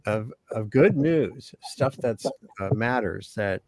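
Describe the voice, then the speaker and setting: Halting low-voiced man hosting a call. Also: lively intonation, darkish tone, dry recording. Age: middle-aged or older. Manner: halting, with many restarts and fillers. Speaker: host. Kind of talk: casual video call conversation. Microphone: video call.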